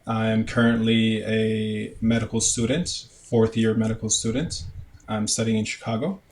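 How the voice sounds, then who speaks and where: Hesitant young man with a flat voice. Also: on the low side, moderate pace. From Canada. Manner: hesitant, many fillers and restarts. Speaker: guest, medical student. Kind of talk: casual interview answers.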